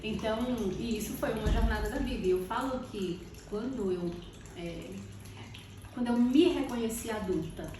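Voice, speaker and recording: A Brazilian woman with a slightly high voice talks reflectively, as a guest in a relaxed interview, feeling for her words. She sounds distant in a very echoey space, with clear watery noise behind her. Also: moderate pace. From Brazil, born in Salvador, lived in Teresina. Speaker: podcast guest, architect. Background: water fountain trickling.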